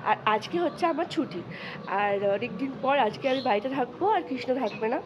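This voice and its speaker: A young woman vlogger speaks in a West Bengal Bengali accent, addressing viewers in an easy conversational way. Her voice sits a little high and moves quickly. There is clear noise behind her. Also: muffled recording. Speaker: daily vlogger. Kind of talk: casual vlog chat to viewers.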